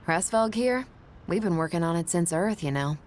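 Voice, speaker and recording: An actress playing a brash, loyal ace test pilot in a video game, speaking in a casual, confident, plain-spoken way. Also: female voice, lowish voice, expressive, normal speed, darkish tone, a little hiss or hum, natural voice. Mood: nostalgic. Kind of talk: dramatic video game cutscene acting.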